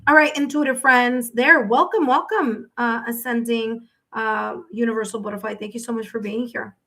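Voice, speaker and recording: A woman's voice: sits high, bright, forceful tone. A woman hosting a live tarot reading, talking to her viewers in a chatty way and often checking in with "okay?". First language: English, with Spanish as second language. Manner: chatty, repeats herself, tags sentences with "okay?". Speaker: tarot reader and live-stream host.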